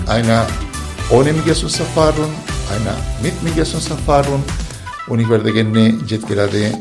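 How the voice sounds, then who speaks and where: A man with a foreign accent in German, a non-native speaker hosting a radio interview in halting, deliberate phrases. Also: fairly low pitch. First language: not German. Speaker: radio show host.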